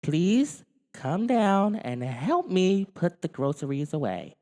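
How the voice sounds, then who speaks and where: Caring, exasperated storybook mother, acted by a man, high swooping voice, theatrical, echoing in long reverb. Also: very animated, takes it slowly, darkish tone, exaggerated voice. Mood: calling out. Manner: theatrical calling and sighing.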